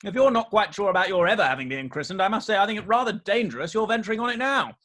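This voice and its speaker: Seeking a male actor for a glib dandy in period stage comedy, high and very animated in pitch. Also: racing. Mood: nonchalant, defensive. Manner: airy, glib, mock-serious. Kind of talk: rehearsed reading of a Victorian stage comedy.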